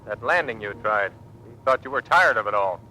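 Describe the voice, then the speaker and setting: A man's voice: on the low side, animated, a little fast, bright, forceful tone, slight background noise, low-quality recording. An actor playing a skeptical male fighter pilot, dry and needling, in old radio drama style. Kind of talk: 1940s radio acting.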